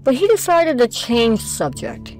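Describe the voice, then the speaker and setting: A woman's voice: a little high, huge pitch swings, normal speed, a little mellow, soft background music. A woman reading scripted narration for an audio drama.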